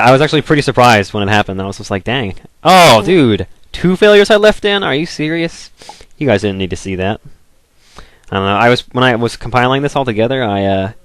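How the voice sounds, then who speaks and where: A young man from the southern United States talks through a computer game in a loose, rambling way, leaning on "like" and restarting his sentences. His voice sits on the low side. The sound is dry and close, with no room echo. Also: a bit bright. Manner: rambling, filler-heavy, restarts, frequent "like". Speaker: gaming video commentator. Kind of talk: casual game commentary.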